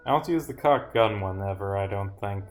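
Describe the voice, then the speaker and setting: A man's voice: on the low side, fairly quick, faint music behind. A man commentating on his own livestream as a game developer, rambling with muttered asides, self-corrections and casual swearing. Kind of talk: livestream commentary, reading game dialogue aloud.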